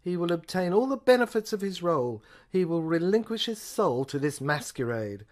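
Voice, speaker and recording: A woman's voice: on the low side, very animated, normal speed, darkish tone. Woman voicing a commanding, zealous chief detective. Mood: cold, triumphant. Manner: declamatory, clipped orders.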